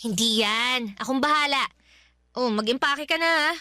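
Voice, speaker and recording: High, bright, breezy young Filipina. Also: female voice, moderate pace, clean tone, dry recording, clean studio sound. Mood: urging, reassuring. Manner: slangy, teasing, breezy. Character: Jem, outspoken, jokey young daughter and loyal best friend. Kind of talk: Filipino radio drama dialogue. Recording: music bed behind the voice.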